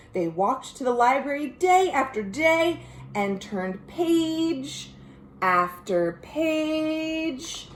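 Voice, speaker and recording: An American woman, a children's librarian, reading a picture book aloud in an expressive, sing-song way with chatty asides to young listeners. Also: high-pitched, reverberant, slight background noise. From United States. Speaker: children's librarian hosting a virtual storytime. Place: at home.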